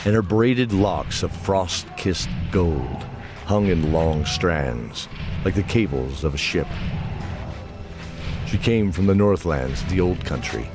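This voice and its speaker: A man with a low voice narrates a fantasy audio drama. He reads scripted descriptive prose over clearly audible music. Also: lively intonation, takes it slowly.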